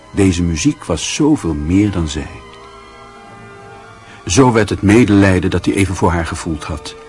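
A man's voice: deep voice, a little mellow. A man narrating a radio play as a grave, literary storyteller.

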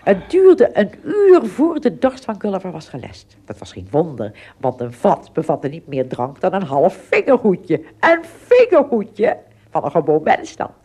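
A woman narrating a scripted children's story expressively, with dramatic pauses and little asides. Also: lowish voice, wildly swooping pitch, mellow, slightly rough.

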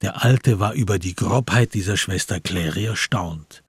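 Husky, gravelly low-voiced male narrator. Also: normal speed, no room sound, clean studio sound. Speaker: narrator of a radio drama. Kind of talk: scripted literary narration.

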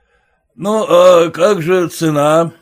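A man's voice: on the high side, slow pace, pitched above the performer's own voice. A man voicing a stingy, domineering merchant who speaks bluntly and scolds his servants. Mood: gruff bossy. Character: gruff stingy old merchant, bossy.

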